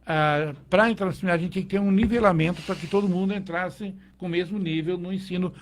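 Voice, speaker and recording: Middle-aged Brazilian man, teacher guest, slightly high voice, reflective, restarts a lot. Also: quick pace, a little hiss or hum. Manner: reflective, with frequent restarts and tag questions. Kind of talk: conversational interview.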